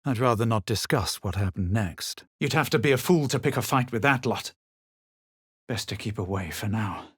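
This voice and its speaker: A haughty male adventurer from a fantasy game, speaks in a low, husky voice. His delivery is dry, superior and theatrical, with wide swings in pitch. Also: lively intonation, moderate pace, fairly bright tone, dry recording. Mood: dry, disdainful. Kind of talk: scripted video game voice lines.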